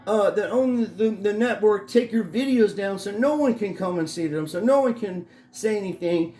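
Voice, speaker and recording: A middle-aged man with a hoarse voice, ranting angrily and profanely in a rambling home vlog. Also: high-pitched, expressive, normal speed, dark tone, echoey room. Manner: angry, profane, rambling rant. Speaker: vlogger. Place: his home in Florida.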